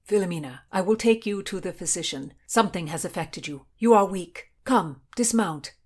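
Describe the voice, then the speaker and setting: A woman voicing a stern male soldier of the queen's guard giving loud, commanding orders. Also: on the low side, expressive, normal speed.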